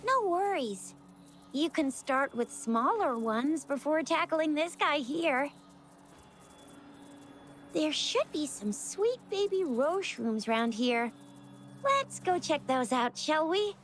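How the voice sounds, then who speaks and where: A woman voicing an excitable, cheeky young female companion in an anime-style game, bubbly and exclaiming. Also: high-pitched, takes it slowly, slight background noise. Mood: chirpy explaining. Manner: bubbly, exclaiming, folksy wording. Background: game music.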